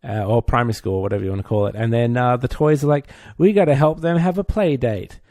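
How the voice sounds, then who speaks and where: A man's voice: mid-range voice, fast, darkish tone, no room sound, studio quality. A man co-hosting a podcast, chatting casually about films in a wry, joking way. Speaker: podcast co-host. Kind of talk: casual film chat.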